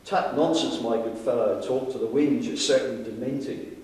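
Man performing a colonial gentleman barrister, headstrong, grandiloquent, patronising, old-fashioned dramatic reading, heavy room echo, distant mic. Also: medium pitch, moderate pace, lightly acted. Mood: dismissive, stubborn. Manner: pompous, florid, patronising. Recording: very echoey hall, far from mic.

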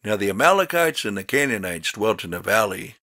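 A man reading scripture as a narrator in a solemn, dramatic style, with measured, emphatic, declamatory delivery. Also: lowish voice, big pitch swings, pushed and bright, no room sound, studio quality. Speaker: Bible narrator.

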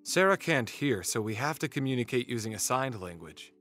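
A man playing a kind, helpful young merman prince, explaining things gently in an audio drama. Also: mid-range voice, big pitch swings, fairly quick, soft background music. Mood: kind, patient.

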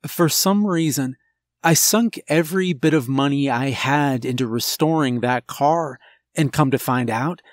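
A man narrating a scripted horror story in a deliberate, dramatic way with pauses. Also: medium pitch, dry recording, clean studio sound. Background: background music.

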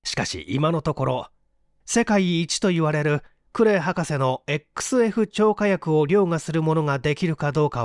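Expressive male storyteller, lively pitch. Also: dry recording, clean studio sound. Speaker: audiobook reader, stage actor. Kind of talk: dramatic scripted story narration.

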